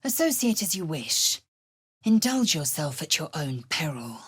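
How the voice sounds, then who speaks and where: A woman's voice: sits low, big pitch swings, a little slow, breathy edge, dry recording, clean studio sound, exaggerated voice. An actress voicing a proud, fierce female githyanki warrior in a video game, harsh, scornful and commanding. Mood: cold. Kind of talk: game voice lines.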